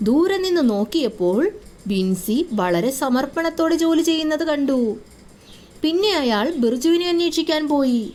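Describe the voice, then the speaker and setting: A woman's voice: sits high, lively intonation, normal speed, darkish tone. A woman giving scripted narration for a children's moral story.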